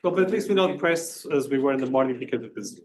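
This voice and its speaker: A man moderating an academic session informally, in a halting and polite way. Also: medium pitch, expressive, a crowd in the background.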